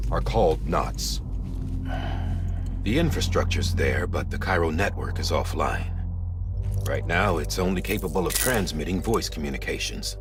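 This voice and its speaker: An actor playing a commanding middle-aged official in a video game, speaking in a measured, authoritative and persuasive way. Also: male voice, extremely low pitch. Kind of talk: dramatic video game cutscene acting, expository.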